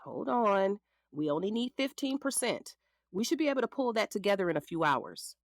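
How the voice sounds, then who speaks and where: A woman's voice: mid-range voice, sing-song, moderate pace, dry recording, studio quality. An actress playing a frank, sassy middle-aged lawyer in a naturalistic audio drama, protective of the ex-husband she represents. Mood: measured, matter-of-fact. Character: frank, sassy female lawyer, protective ex-wife of her client. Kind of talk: naturalistic scripted drama dialogue.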